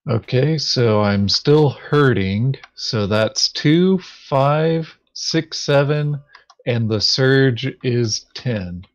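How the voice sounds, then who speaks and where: A man thinking aloud haltingly as he plays a tabletop game in casual chat. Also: medium pitch, lively intonation. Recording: dull lo-fi voice chat audio.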